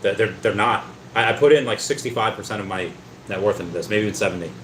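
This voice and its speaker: A man's voice: fairly low pitch, quick pace, fairly bright tone, slight background noise. A man hosting a casual livestream, reading out chat comments and rambling, often stammering and repeating his words. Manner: rambling, talkative. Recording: roomy echo.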